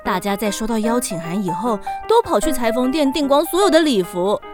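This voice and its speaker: A woman's voice: a little high, sing-song, fairly quick, over music. A woman playing a bossy, practical young eldest sister in a children's radio drama. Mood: resigned.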